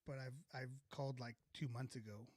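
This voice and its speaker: A man's voice: mid-range voice, steady tone, brisk, darkish tone, dry recording. Bantering male podcast co-host. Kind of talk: casual chat.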